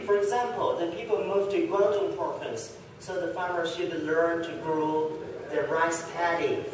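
A man from China explaining things as a local guide in a filmed clip, halting and laughing things off. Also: a little high, steady tone. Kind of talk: conversational explanation. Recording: echoey hall, far off, crowd noise.

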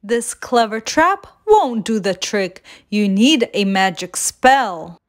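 A woman's voice: mid-range voice, sing-song, slow. A woman voicing a cheeky, boastful little gingerbread man in a children's storybook, playful and taunting in sing-song rhyme. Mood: teasing. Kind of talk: children's storybook read-aloud.

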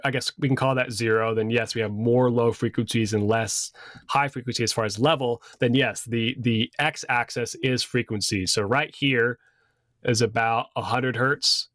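A man's voice: mid-range voice, a bit bright, no room sound, studio quality. A man in his thirties giving a casual, conversational lesson as an instructor, talking through slides. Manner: conversational, informal. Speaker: sound system design instructor.

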